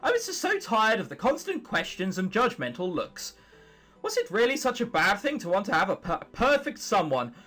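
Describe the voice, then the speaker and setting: A young troll girl voiced by a man tells her storybook tale. She is fretful and dramatic, with a high, very animated voice. Music sits faintly behind her. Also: normal speed, heavily acted. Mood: weary, wistful. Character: young female troll narrator, flustered, embarrassed, defensive storybook heroine. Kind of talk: visual novel narration read aloud.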